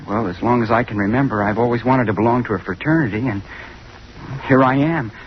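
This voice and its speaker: An actor playing an earnest, awkward, ambitious young chemistry student in an old-time radio drama, hesitant and over-polite. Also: male voice, mid-range voice, fairly quick, natural voice. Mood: meek, eager. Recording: muffled, noisy old radio recording.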